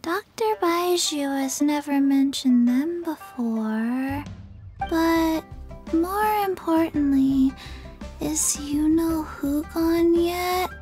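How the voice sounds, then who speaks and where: A timid little child from a video game, with a high voice and a very slow, halting delivery. The child sounds hesitant, with music playing softly behind. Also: female voice. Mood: hesitant, worried.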